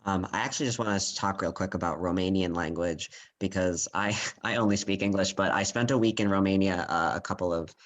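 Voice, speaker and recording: A panelist speaking in a casual discussion, thoughtful and halting, with many pauses and filler words. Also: male voice, deep voice. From United States.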